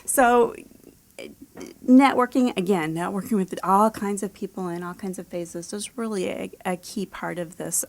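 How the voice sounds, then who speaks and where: A woman's voice: lowish voice, gravelly. A middle-aged American woman author answering interview questions in an informal, conversational way, sometimes voicing imagined speakers. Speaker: author and editor being interviewed.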